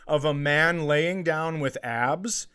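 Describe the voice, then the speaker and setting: A man's voice: a little high, slow pace, pushed and bright, smooth. Middle-aged man telling a crude comic anecdote. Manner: crude, swearing, acts out dialogue. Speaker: podcast host, comedian. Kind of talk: casual comedic anecdote telling.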